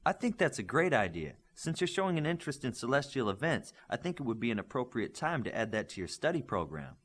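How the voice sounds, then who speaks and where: A man voicing a polite, encouraging female schoolteacher in an audiobook, measured and instructive. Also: medium pitch, very animated, a bit bright, voice pushed up.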